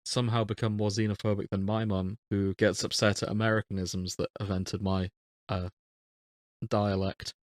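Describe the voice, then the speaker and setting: A man's voice: lowish voice, little pitch movement, moderate pace, no room sound. Wry English man, dry close recording. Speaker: podcast co-host. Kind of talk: casual chat.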